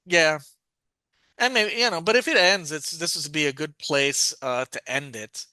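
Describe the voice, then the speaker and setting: A man with a fairly high, very bright and clean voice hosts a casual podcast. He talks in a halting, rambling way, often doubling back to restart a phrase. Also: no echo. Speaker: podcast host. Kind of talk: casual review chat.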